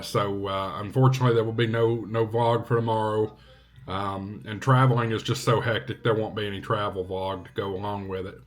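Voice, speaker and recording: A man talking casually to viewers as a vlog host, in an unhurried, halting way with short phrases. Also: mid-range voice. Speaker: vlog host, ham radio hobbyist.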